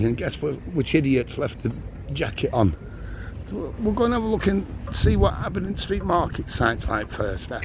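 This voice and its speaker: An older man, chatting casually while walking a town tour, his voice a little squeaky and strained. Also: vocal fry. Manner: chatty, rambling, self-mocking. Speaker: walking vlogger. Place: outdoors on busy town streets. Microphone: handheld camera on a gimbal. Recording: outdoor street noise.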